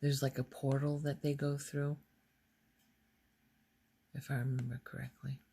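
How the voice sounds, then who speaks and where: Slow, soft-spoken woman with creaky voice. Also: extremely low pitch, flat delivery. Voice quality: soft, breathy, often slipping toward a whisper. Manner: hesitant, musing, thinking aloud. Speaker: ASMR video creator. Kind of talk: soft-spoken ASMR ramble.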